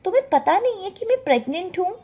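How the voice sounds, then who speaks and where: A woman's voice: very high-pitched, very animated, quick pace, dark tone, voice pushed up. A woman voicing a greedy, scornful young pregnant daughter-in-law in a story, nagging and taunting. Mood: irritated, threatening.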